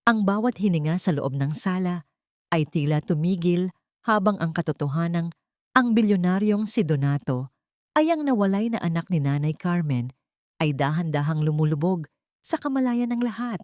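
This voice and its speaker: Low-voiced woman narrating a dramatic story. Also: animated, normal speed, a little mellow, dry recording, studio quality.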